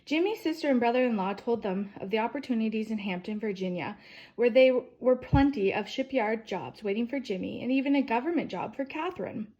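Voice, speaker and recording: A woman with a slightly high voice reads a children's biography aloud in storytime style. She phrases deliberately, starting sentences with emphasis and letting the endings fall away softly. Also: expressive, muffled recording. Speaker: storyteller reading a picture book aloud.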